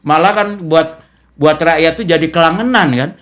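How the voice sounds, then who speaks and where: A man's voice: a little high, normal speed, a bit bright. A man with an Indonesian accent hosting a casual interview, explaining his views at length and often checking for agreement. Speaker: talk show host.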